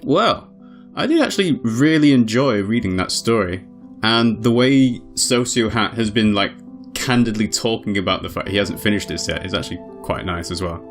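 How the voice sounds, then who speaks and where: Man giving casual game commentary over music. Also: mid-range voice. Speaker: let's play host. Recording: music underneath.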